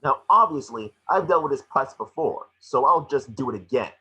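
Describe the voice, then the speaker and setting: A man voicing a small wisecracking Pikachu detective, excitable, sarcastic and fretful, in an amateur table reading. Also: medium pitch, normal speed, husky, rough recording. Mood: sarcastic, irritated.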